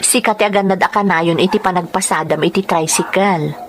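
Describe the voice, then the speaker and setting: A woman playing a grateful, gentle young woman in a radio drama, speaking politely. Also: lowish voice, brisk, slight background noise, natural voice.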